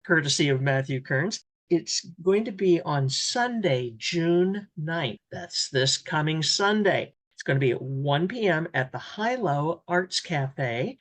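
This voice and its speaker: A woman hosting a radio interview, speaking in measured, clearly enunciated phrases as she talks with guests and announces events. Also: deep voice.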